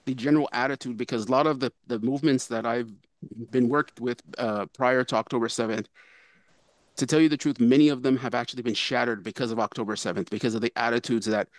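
A man on a video call asking a long, halting question with many fillers. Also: mid-range voice, no room sound. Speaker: audience member asking a question.

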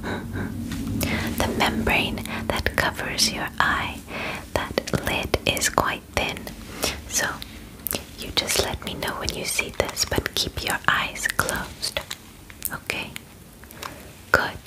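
A young woman voicing a calm, clinical alien examiner in an ASMR roleplay, speaking formal, reassuring instructions in a soft breathy whisper. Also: takes it slowly, long pauses, poor audio. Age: young adult. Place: bedroom, indoors. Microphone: close sensitive microphone.

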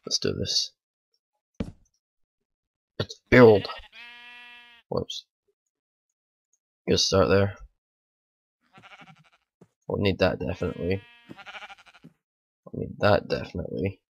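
A man, hoarse from a bad cold, rambling through casual let's play commentary at home. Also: sits low, animated, takes it slowly, pauses often. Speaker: gaming channel host.

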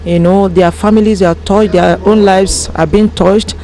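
Mellow middle-aged Nigerian woman. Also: medium pitch, fairly even intonation, a little slow, slight background noise, rough recording. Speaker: pastor, interviewee. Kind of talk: spoken interview answers. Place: outdoors by a house porch. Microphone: handheld interview microphone.

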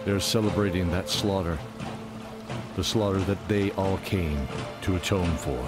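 A man narrating a scripted fantasy drama in a measured, deliberate, dramatic way. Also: deep voice, loud music behind the voice.

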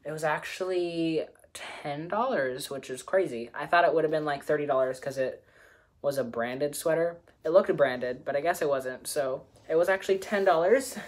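A teenage boy chatting casually in his bedroom, showing off new clothes, giggling and filling pauses with ums. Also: on the high side. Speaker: vlogger. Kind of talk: casual haul video. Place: small bedroom indoors. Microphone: camera close up at desk.

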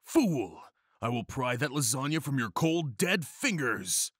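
A man's voice: medium pitch, lively intonation, moderate pace, breathy edge, no room sound, studio quality. A man voicing a macho, righteous cat hero in a comic story, pompous and declamatory. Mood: furious, commanding. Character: macho, bombastic, lasagna-obsessed male cat hero, righteous and flirty.

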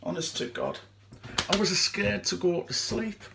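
A young man acting a dramatic stage monologue as a distraught, haunted man, speaking haltingly and emotionally with long pauses. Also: sits high, creaky, noisy background, exaggerated voice. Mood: bitter, fearful. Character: distraught man haunted by his girlfriend's suicide. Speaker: amateur actor.

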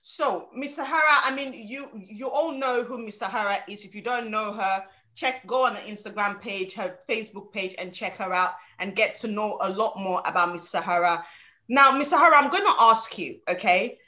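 Bright-voiced woman hosting, emphatic. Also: medium pitch, normal speed. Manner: emphatic, tags lines with "okay?". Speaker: show host. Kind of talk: casual interview hosting. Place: home room, indoors. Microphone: video call.

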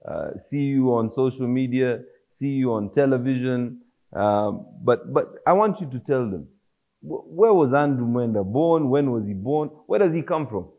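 A man in his thirties hosting a studio talk show, asking questions in a friendly, relaxed way. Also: mid-range voice, moderate pace. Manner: friendly, laid-back questioning. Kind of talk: casual interview. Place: studio set with armchairs. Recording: slightly dull studio audio.

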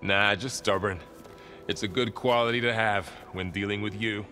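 An actor playing a steady, loyal and gently teasing young male companion in a video game. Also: fairly low pitch, normal speed. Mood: wry, steadfast. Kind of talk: video game dialogue acting. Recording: faint music behind the voice.

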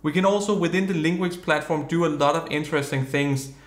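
A man, explaining a software product in a plain, upbeat review style. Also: fairly high pitch, a little fast, roomy sound. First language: Danish. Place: studio room with purple backdrop.

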